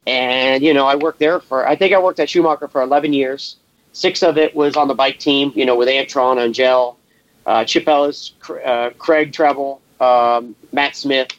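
A man from Massachusetts, a guest telling relaxed, rambling work stories in a casual interview. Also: medium pitch, normal speed, no room sound, lo-fi sound. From Massachusetts, USA. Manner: laid-back, rambling, many fillers. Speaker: guest, race shop welder.

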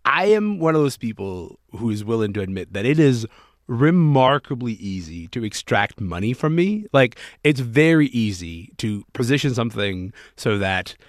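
A man's voice: mid-range voice, very animated, normal speed, no room sound, studio quality. A man who grew up in Sudan, co-hosting a casual discussion and talking thoughtfully with many ums and restarts.

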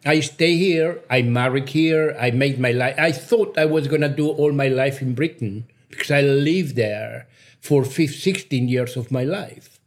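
A man's voice: medium pitch, measured pace. An older man from Mexico, a Spanish speaker, answering interview questions effusively, repeating words for emphasis. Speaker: cinematographer, interview guest.

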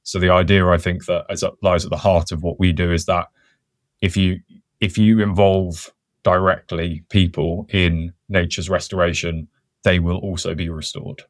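Low-voiced reflective man. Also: flat delivery, moderate pace, dry recording, clean studio sound. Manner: reflective, thinks aloud with restarts. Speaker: podcast guest, conservation worker. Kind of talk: casual conversational interview.

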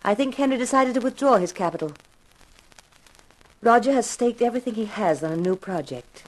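An actress playing a frank, self-possessed young widow confiding intimately in a detective in a 1950s radio mystery. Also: female voice, on the high side, animated, brisk, low-quality recording. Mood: candid. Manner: candid, intimate.

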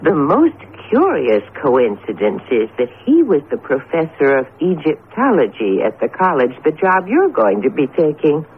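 An actress playing a chatty, affectionate, eager wife in an old radio drama. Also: female voice, low voice, wildly swooping pitch, normal speed, few pauses. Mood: breezy, eager. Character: breezy, eager wife showing off a house. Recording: tinny vintage radio broadcast, faint hiss.

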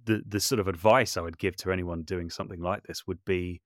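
A man's voice: deep voice, fairly even intonation, a little fast, a bit bright, dry recording, studio quality. A man speaking casually as an interview guest, thoughtful and self-deprecating, often circling back to rephrase what he says. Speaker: interview guest, composer and voice artist. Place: small brick outbuilding home studio.

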